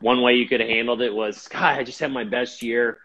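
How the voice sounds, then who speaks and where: A man hosting a casual interview, halting and often rephrasing, on slightly muffled audio. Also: medium pitch, fairly even intonation, bright, forceful tone, husky. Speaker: show host, interviewer.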